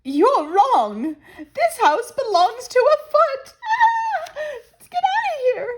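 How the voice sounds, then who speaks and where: A fussy little wife bird from a picture book, put on by a woman reader. The voice is very high and swoops up and down, emphatic and bossy. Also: big pitch swings, slow, exaggerated voice. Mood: alarmed. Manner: complaining, emphatic. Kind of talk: storybook character voice.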